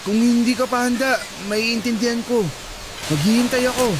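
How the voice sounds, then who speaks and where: A man's voice: very high voice, steady tone, normal speed, noisy background. A man acting an eager, agreeable, sweet-natured young Filipino boyfriend in a radio drama, speaking earnestly. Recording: loud music behind the voice.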